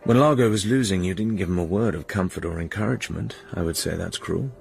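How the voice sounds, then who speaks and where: An actor playing a suave, self-assured British secret agent in a radio drama, dry, urbane and teasing, with a British accent. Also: male voice, low-pitched, quick pace, vocal fry, breathy edge, faint music behind, exaggerated voice. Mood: frank, flirtatious.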